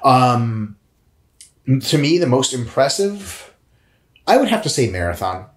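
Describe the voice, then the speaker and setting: A man in his thirties on a couch, answering viewer questions in a casual, jokey, profane way, with big swings in pitch. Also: very animated, a little slow. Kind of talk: casual chat. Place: indoor room, on a couch. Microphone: lapel mic. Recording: echoey room.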